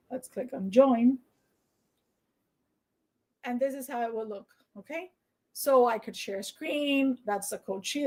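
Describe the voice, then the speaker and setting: A Dominican woman whose first language is Spanish, speaking English with a Spanish accent as she leads a screen-share tutorial and sales pitch, briskly persuasive and often checking in with "okay?". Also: poor audio. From Dominican Republic. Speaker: online business coach.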